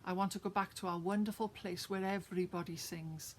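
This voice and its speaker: A fed-up, homesick Welshman rallies his mates with emphatic calls to head home, as a Welsh woman puts him on. He speaks in a Welsh accent at a lowish pitch. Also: steady tone, brisk, a little hiss or hum, close to an everyday voice. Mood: rousing.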